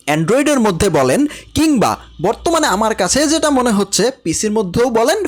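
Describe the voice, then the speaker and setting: A man with a Bangladeshi accent teaching a tutorial in a chatty, direct way, repeating instructions for emphasis. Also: high voice. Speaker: animation teacher. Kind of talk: tutorial lesson with casual direct address.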